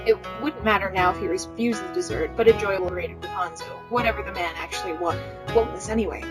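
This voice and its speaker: A woman's voice: medium pitch, fast talker. A woman reading fiction aloud as a podcast host, playful, slipping in wry comments of her own. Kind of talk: informal read-aloud narration with banter. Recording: guitar music behind the voice. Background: plucked guitar music.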